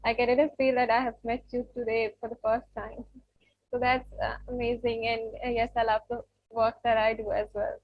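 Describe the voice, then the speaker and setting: A woman's voice: fairly high pitch, little pitch movement, normal speed, muffled recording, slight background noise. A woman from Pakistan hosting an interview, speaking hesitantly with many fillers. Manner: warm-hearted, hesitant with fillers. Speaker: podcast host.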